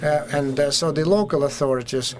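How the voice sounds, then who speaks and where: A Russian man with a Russian accent, speaking as a panelist at a conference discussion, halting and full of fillers and restarts. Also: fairly high pitch, crowd noise.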